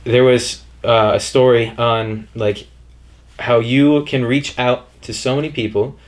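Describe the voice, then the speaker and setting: A man's voice: medium pitch, steady tone, a little slow. A young man hosting a podcast, chatting casually and enthusiastically, often saying "dude" and "bro". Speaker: podcast host. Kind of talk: casual chat.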